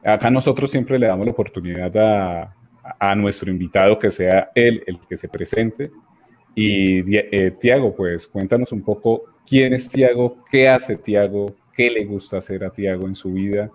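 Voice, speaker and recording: Low-voiced male radio host. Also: a little slow, darkish tone. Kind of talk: casual radio talk.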